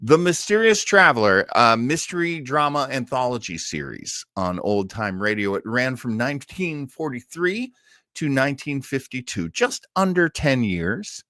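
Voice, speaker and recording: Animated man hosting a livestream. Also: medium pitch, moderate pace, dry recording, studio quality. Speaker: host of an old-time radio livestream. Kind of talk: casual livestream introduction, chatty.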